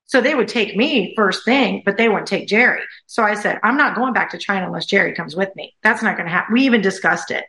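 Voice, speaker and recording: A woman with a bright, forceful voice tells a personal story as a podcast guest. She talks casually, repeats herself for emphasis, and her voice rings a little in a roomy space. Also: medium pitch, fast, hardly any pauses. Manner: animated, repeats phrases for emphasis. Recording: echoey room.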